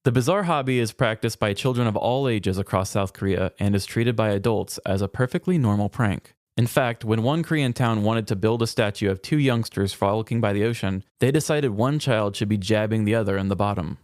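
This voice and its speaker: A podcast co-host lays out an odd fact in an easygoing chat, sounding calm and matter-of-fact. The voice sits in a middle pitch and leans on casual "like" fillers. The sound is close and dry. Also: a little fast, hardly any pauses, no room sound.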